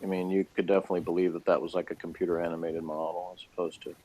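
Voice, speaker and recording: A man's voice: deep voice, little pitch movement, rapid-fire, warm tone, slight background noise, rough recording. A man chatting informally as co-host of a review, tossing in loose asides. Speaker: co-host of a sci-fi TV episode review. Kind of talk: casual chat and commentary.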